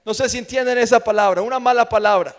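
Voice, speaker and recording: A man's voice: very high-pitched, quick pace. A man with a Colombian accent preaching an evangelical sermon in an emphatic manner, repeating phrases for effect. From Colombia, grew up in Medellín. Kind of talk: evangelical sermon with personal testimony. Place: large church room with long reverb. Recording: long hall reverb.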